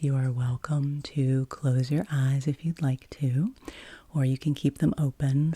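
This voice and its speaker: A woman softly guiding a relaxation practice as an ASMR host, with a breathy, whispery voice and a slow, soothing, gentle manner. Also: very deep voice, dry recording. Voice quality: breathy, whispery soft-spoken.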